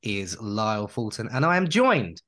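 A man's voice: fairly low pitch, very animated, moderate pace, a bit bright. A man co-hosting a podcast, chatting casually and enthusiastically, piling on praise and rambling through restarts. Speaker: podcast co-host.

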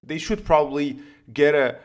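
A man's voice: on the high side, expressive, normal speed. Blunt man chatting casually about sport. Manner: blunt, opinionated, swears casually. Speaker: podcast co-host. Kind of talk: casual football podcast chat.

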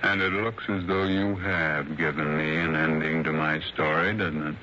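An actor playing a sad, resigned old blind man in a 1960s radio drama, with slow, halting delivery. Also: extremely low pitch, flat delivery, pushed and bright, dull audio. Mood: gently knowing. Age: older. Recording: noisy, band-limited old radio recording.

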